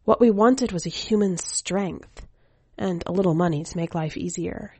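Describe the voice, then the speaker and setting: A woman's voice: lowish voice, moderate pace, a little mellow, breathy edge, no echo. A woman reading a scripted first-person short story as a podcast narrator. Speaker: podcast editor and story reader.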